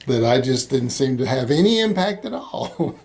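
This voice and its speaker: A man in his sixties hosting an interview in a relaxed, conversational way, with frequent restarts and easy laughter. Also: mid-range voice, normal speed. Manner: rambling, hesitant restarts, laughs easily. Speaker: interviewer, missions organization host.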